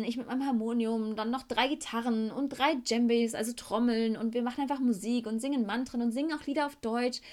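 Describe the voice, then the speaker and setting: A woman's voice: a little high, darkish tone. A woman in her early thirties from Germany, speaking reflectively in a personal podcast monologue and searching for her words as she goes. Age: 30s. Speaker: podcast host and tantra teacher.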